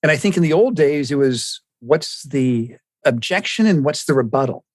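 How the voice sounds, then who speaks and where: A man's voice: a little high, animated, normal speed, no room sound. A man hosting a conversational interview podcast, inquisitive and reflective as he frames questions for a guest.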